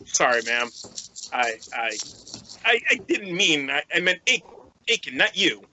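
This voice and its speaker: A man's voice: on the high side, very animated, unhurried, sharp tone, a little hiss or hum, heavily acted, poor audio. A man playing a flustered, tactless soldier at a script table read, prone to stammering. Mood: flustered, apologetic. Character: flustered, sexist male soldier, quick to apologize.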